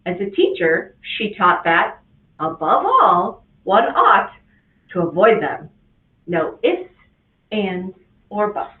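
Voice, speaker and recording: A woman reading a rhyming picture book aloud to children as a guest reader, with an expressive, sing-song delivery. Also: medium pitch, slow pace. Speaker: guest reader, school administrator. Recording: tinny, echoey, from a distance.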